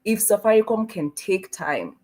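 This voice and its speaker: A woman's voice: mid-range voice, big pitch swings, moderate pace, warm tone. A woman hosting a panel, earnest and making points through analogies. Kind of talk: panel conversation.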